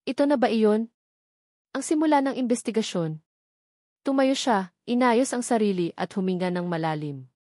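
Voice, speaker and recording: Female narrator for romance audio drama: scripted storytelling, medium pitch, very dry sound. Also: darkish tone, dry recording.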